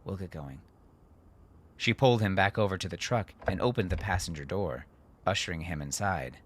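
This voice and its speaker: A man with a low voice narrates a dramatic story. His delivery is measured and deliberate, in the manner of a scripted audiobook. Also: a little hiss or hum.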